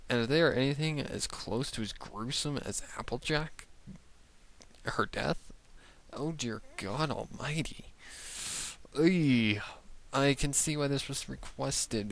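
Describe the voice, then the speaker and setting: A reaction show host giving casual commentary in a halting, exclamatory way, with muttered asides. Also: mid-range voice, a bit bright. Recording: faint music behind the voice.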